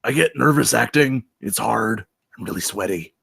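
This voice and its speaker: A man playing a vain, insecure Bigfoot with a raspy, gravelly voice in a comedic table read. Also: mid-range voice, animated, normal speed, a bit bright, exaggerated voice. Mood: insecure, sulky. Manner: needy, dramatic, swinging from sulky to indignant. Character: vain, insecure, excitable male Bigfoot, amateur movie star.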